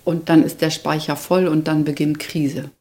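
A middle-aged woman therapist explaining her work in a measured, thoughtful way. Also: deep voice, level tone, mellow. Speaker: child and youth therapist. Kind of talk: interview answers.

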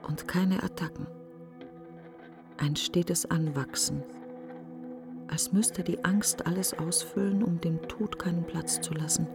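Mourning woman in a radio drama, hushed breathy creaky voice, slow broken phrases, scared n brooding. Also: on the low side, steady tone, over music. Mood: hushed dread. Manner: halting, fragmented, deliberate phrasing. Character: grieving, fearful, brooding woman mourning her best friend. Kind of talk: literary radio drama monologue.

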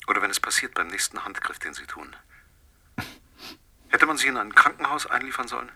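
An actor in a radio drama playing a vengeful husband, a coldly controlled and menacing caller who speaks with deliberate mockery. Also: male voice, medium pitch, big pitch swings, vocal fry, bright, forceful tone, husky, full character voice. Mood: menacing calm.

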